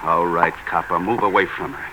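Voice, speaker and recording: A menacing racketeer from a 1940s radio noir. The voice is fairly low, blunt and tough. The old recording is clearly noisy. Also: male voice, steady tone, normal speed, bright, forceful tone. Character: menacing male gambling racketeer with a grudge.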